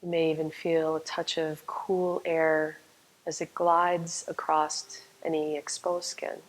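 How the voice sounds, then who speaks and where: A woman leading a guided meditation as a yoga nidra teacher, speaking calmly and soothingly with long, unhurried pauses. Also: low voice, rough recording.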